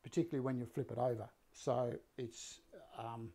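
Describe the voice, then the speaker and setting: An older man with a slightly croaky voice talks through a woodworking project in his workshop. He rambles easily, with plenty of ums and restarts, at an ordinary middle pitch. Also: normal speed. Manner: relaxed, rambling, many fillers and restarts. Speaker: woodworking teacher and live-stream host. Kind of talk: live workshop demonstration. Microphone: fixed camera, mid-distance framing.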